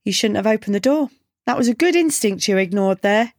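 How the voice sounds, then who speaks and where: A woman voicing a brash, sarcastic young punk woman in audiobook dialogue, deadpan and taunting. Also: mid-range voice, brisk, no room sound, studio quality. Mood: calm, mocking.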